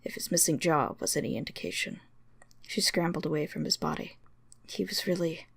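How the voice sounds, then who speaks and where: A woman's voice: low-pitched, brisk, gravelly, slightly rough, dry recording, clean studio sound. A woman giving a dramatic scripted narration as she reads a story. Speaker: story reader.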